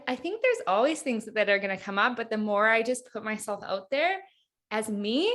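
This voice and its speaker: A woman's voice: mid-range voice, a bit bright. Thirties female guest, casual and reflective, leans on "like" a lot. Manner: chatty, reflective, heavy use of "like". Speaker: hypnotherapist guest. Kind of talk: casual podcast interview.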